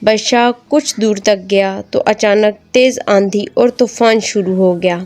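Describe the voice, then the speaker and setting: A woman's voice: mid-range voice, fairly even intonation, a little slow, a little mellow. Woman narrating a story over music. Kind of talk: scripted moral story narration. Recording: music behind the voice.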